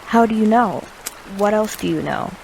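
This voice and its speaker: A woman playing a composed, practical survivor leader, measured and direct, in an audio drama. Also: medium pitch, expressive, gravelly, a little mellow, a little hiss or hum, poor audio.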